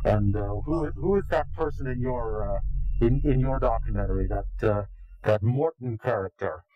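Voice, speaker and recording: A man's voice: medium pitch, moderate pace, dull audio, slight background noise. A man being interviewed, speaking in a contemptuous, indignant way. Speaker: anonymous interviewee. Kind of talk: recorded interview.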